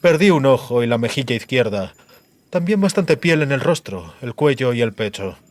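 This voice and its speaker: A man performs a cruel, disfigured sorcerer from a fantasy audiobook. He speaks in measured, theatrical monologue, gloating coldly. The mid-pitched voice is full of menace and sits in a dry, close sound. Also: expressive, no room sound, close to an everyday voice. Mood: bitter, mocking.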